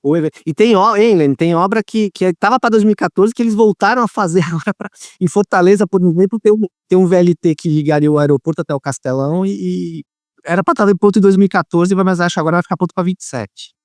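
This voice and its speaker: A man's voice: high-pitched, fast, no echo, studio quality. A man hosting an interview, talking in a chatty way and restarting his phrases often. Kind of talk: interview questions, casual chat.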